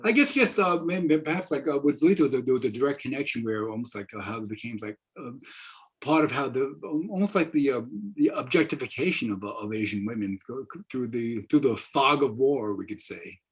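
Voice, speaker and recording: Older man, husky voice, moderating tentatively. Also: medium pitch, animated, brisk, poor audio. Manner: tentative, rambling, circling phrasing. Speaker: moderator, professor. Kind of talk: informal panel moderating. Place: small room at home, indoors. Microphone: computer mic on a video call.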